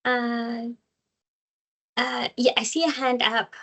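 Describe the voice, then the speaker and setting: A woman's voice: on the high side, level tone, slow, a bit bright. A woman facilitating an online council meeting over a video call, thanking and introducing members with many fillers.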